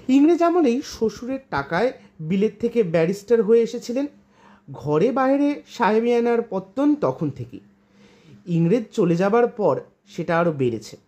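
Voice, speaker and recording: A woman reading a literary short story aloud in an expressive storytelling style. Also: mid-range voice. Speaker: story reader.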